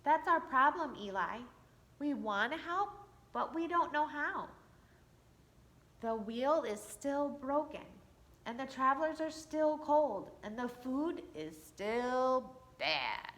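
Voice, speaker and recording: A woman's voice: high voice, lively intonation, slow, fairly bright tone, heavily acted. A woman voicing an eager, earnest little wooden puppet villager in a storybook.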